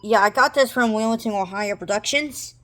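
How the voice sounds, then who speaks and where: A woman giving a casual, rambling, halting show-and-tell of gear as a hobbyist presenter. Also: mid-range voice, fairly bright tone, soft background music. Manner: halting, rambling, improvised delivery. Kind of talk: casual product showcase. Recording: noisy room with clicking handling sounds. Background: noise, clicks, radio broadcasts.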